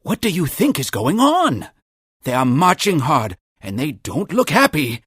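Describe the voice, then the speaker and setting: A man voicing an excitable, gossipy little creature in a cartoon game, husky and chatty, full of marveling emphasis. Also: fairly high pitch, measured pace, dry recording, clean studio sound, full character voice. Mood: amazed, curious. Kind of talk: theatrical cartoon game character dialogue.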